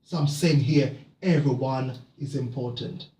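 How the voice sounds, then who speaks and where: A man from Jamaica, giving a reflective motivational talk to camera, halting with many fillers and restarts, then building into emphatic repeated phrases. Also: medium pitch, warm tone, distant mic. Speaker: motivational speaker. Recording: echoey room, off-mic.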